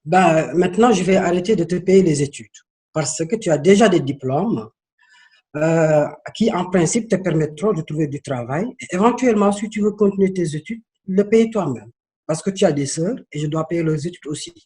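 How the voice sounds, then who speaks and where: Male guest from Senegal, 30s, earnest and hesitant, slightly high voice. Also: animated, normal speed, mellow. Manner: earnest, storytelling, with hesitations and repeats. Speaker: interview guest. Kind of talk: interview.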